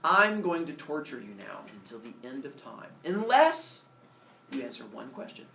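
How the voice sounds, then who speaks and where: A man voicing a bossy, short-tempered king of the gods in a storytelling lesson, loud and demanding. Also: mid-range voice, sing-song, moderate pace, gravelly. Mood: scheming, threatening. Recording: roomy, distant mic, faint noise.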